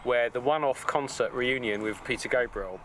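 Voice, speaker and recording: A man, a tribute band founder giving detailed, steady explanations in a casual interview outside a pub. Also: medium pitch, normal speed. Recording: outdoor street noise with passing traffic.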